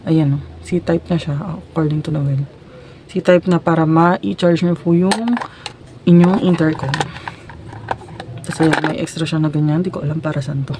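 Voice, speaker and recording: A woman's voice: deep voice, steady tone, moderate pace, long pauses, background noise. A Filipino woman chatting informally to her viewers in a casual unboxing and product demo vlog. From Philippines. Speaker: vlogger.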